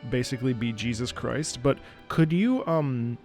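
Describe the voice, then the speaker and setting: Male streamer, average pitch, casual commentary, wry, sarcastic, slight music in background. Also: measured pace, darkish tone. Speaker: streamer reacting to a game, former opera singer.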